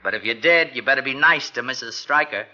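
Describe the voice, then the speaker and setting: A man's voice: mid-range voice, fairly quick, sharp tone. An actor playing a sly, mocking newspaper reporter who relishes his scoop, in 1940s radio drama style. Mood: menacing, smug. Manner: mocking, insinuating, chuckling. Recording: dull, lo-fi vintage radio recording.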